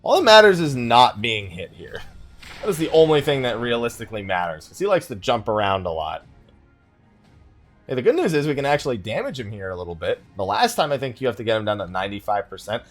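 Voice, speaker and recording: A man's voice: medium pitch, big pitch swings, fast, faint music behind. A man giving casual let's play commentary, rambling and thinking aloud as he plays. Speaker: gaming YouTuber hosting a let's play.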